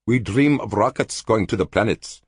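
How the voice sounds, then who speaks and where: A man's voice: on the low side, moderate pace, breathy edge, no echo, studio quality, pitched above the performer's own voice. A man reading an audiobook, voicing an earnest man from a sealed world who pleads fervently. The voice is raised and lifted above his own. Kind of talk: audiobook character voice.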